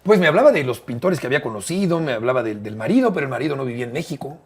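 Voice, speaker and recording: Interview guest: older Mexican man, mid-pitched, unhurried, recalls stories with many "eh" pauses. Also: very animated, a little mellow, husky. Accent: Mexican Spanish. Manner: measured, fills pauses with "eh", repeats phrases. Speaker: interview guest, broadcaster and bullfight writer. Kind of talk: relaxed conversational storytelling.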